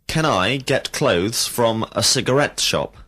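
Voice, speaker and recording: A man reading out slow, carefully enunciated drill questions as an English teacher in a recorded lesson. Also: medium pitch, expressive, sharp tone, breathy edge. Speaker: language teacher.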